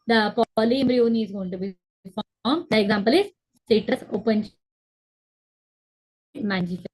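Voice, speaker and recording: A woman's voice: medium pitch, moderate pace, slightly rough, low-quality recording. A young woman teaching a biology class online over a video call, explaining step by step, repeating key terms and checking that the students follow. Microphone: laptop webcam on a video call.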